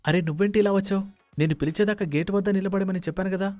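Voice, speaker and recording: Man acting a timid, fast-talking young son. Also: high-pitched, fairly even intonation, a little mellow, no echo, faint music behind, lightly acted. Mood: flustered. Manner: hesitant, coaxing, over-explaining. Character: timid, evasive, pleading young adult son. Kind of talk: dramatised moral story dialogue.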